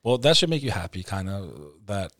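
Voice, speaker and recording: A man with a fairly low voice hosts a casual podcast interview. His American speech carries an Albanian tinge. He talks in a jokey, slangy way, recorded close and dry. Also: animated, fairly quick, fairly bright tone, dry recording. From born in Texas, United States, to an Albanian family. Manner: jokey, uses street slang. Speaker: podcast host.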